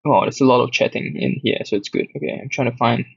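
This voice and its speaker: Young Singaporean man, casual Q&A host, gravelly voice, muffled dry recording. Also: mid-range voice, fast, no echo. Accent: Singaporean English. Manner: relaxed, rambling, reads questions aloud with many fillers. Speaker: app community host answering questions. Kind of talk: casual livestream Q&A. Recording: dull lo-fi dry audio.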